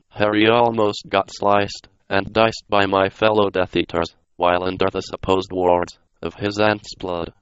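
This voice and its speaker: A computer-generated man's voice reads a story aloud mechanically, without drama. It is somewhat low and very smooth. The recording is dry, with no room sound. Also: no echo, muffled recording. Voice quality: synthetic computer voice. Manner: flat, mechanical reading. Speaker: story narrator. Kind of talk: non-dramatic scripted narration.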